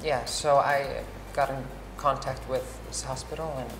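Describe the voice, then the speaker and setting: Low-voiced woman from Iceland, talkative. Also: steady tone, moderate pace, music in the background. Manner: chatty, many fillers and restarts. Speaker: interviewee. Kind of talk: casual interview. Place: large bright hall with wood screens. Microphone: lapel mic. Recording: roomy hall echo, faint ambience.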